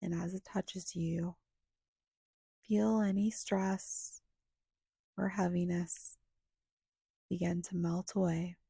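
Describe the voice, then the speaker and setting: A woman leads a guided meditation in a soft, husky, breathy voice that often slips toward a whisper. She speaks very slowly and evenly, with long pauses between short, soothing phrases. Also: lowish voice, flat delivery, dry recording, clean studio sound.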